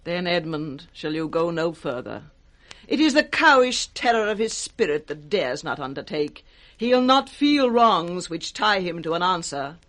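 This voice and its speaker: A woman's voice: fairly low pitch, big pitch swings, a bit bright. An actress playing a scornful, scheming, commanding noblewoman in a British accent, in a theatrical 1960s radio Shakespeare style. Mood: imperious. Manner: theatrical, deliberate, swooping delivery.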